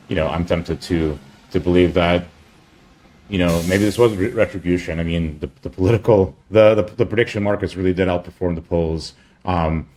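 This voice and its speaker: A man giving a casual screen-share product update as a company chief, in a halting, rambling way with offhand asides. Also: sits low, darkish tone.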